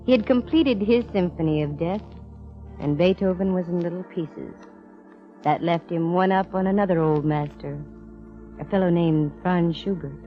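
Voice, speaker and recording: An American actress playing a sharp female private eye in 1940s radio drama, explaining things with dramatic, measured pauses. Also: on the low side, lightly acted. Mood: solemn, explanatory. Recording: dull vintage radio recording with music.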